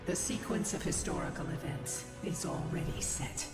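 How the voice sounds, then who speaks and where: A woman playing a calm, cool, enigmatic fighter in a fantasy game, speaking with solemn composure. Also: low voice, moderate pace. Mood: composed, solemn. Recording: echoey, loud music behind.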